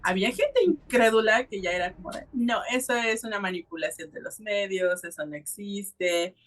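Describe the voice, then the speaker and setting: A woman's voice: mid-range voice, lively intonation, moderate pace. A woman co-hosting a podcast in casual discussion, speaking directly to listeners with advice. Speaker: podcast co-host.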